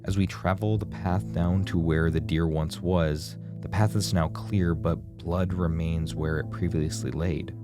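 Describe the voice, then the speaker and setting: Man, low-pitched voice, ominous scripted horror narration, dramatic storyteller delivery, prominent music behind. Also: steady tone, measured pace, hardly any pauses. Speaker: video essay narrator.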